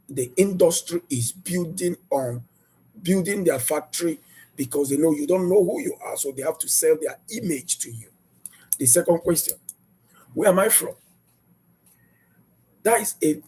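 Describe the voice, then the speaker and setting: Husky guy preaching hard, voice swings way up and down, keeps firing questions at the crowd. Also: on the high side, mellow, rough recording. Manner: emphatic, repetitive rhetorical questions. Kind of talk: sermon.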